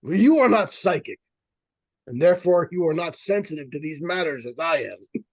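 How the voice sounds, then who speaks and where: An actor playing an earnest psychic investigator in old-time radio suspense style, speaking gravely and formally with theatrical weight. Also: male voice, fairly high pitch, expressive, normal speed, dry recording, low-quality recording. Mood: solemn, foreboding. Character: earnest, portentous male psychic researcher and ghost hunter.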